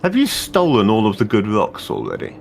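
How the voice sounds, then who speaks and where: A man's voice: on the low side, huge pitch swings, brisk, creaky, over music. A man grumbling dryly while playing a game with a friend. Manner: dry, grumbling, self-deprecating. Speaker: co-player. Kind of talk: casual gaming chat.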